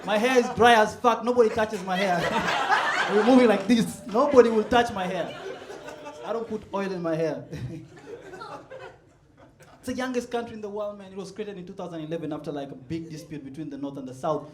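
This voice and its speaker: Man, stand-up comic, fairly high voice, live club echo, chuckling delivery. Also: a little fast, a crowd in the background. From South Sudan. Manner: laid-back, chuckles at his own jokes, builds to loud punchlines. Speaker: stand-up comedian. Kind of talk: stand-up comedy set. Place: comedy club stage. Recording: roomy live club sound.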